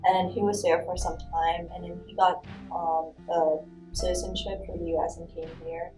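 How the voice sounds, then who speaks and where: A young woman from Vietnam, a student, telling her family's story casually with lots of fillers and pauses. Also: on the low side, level tone, over music, poor audio. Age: young adult. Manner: casual, rambling with many fillers. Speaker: student interviewee. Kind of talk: personal storytelling.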